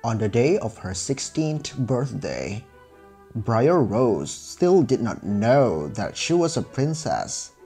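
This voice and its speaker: A male storyteller reads a children's fairy tale from a script. His delivery is unhurried, with a mid-range voice that moves expressively up and down. Faint music plays behind him. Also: medium pitch, very animated, dark tone.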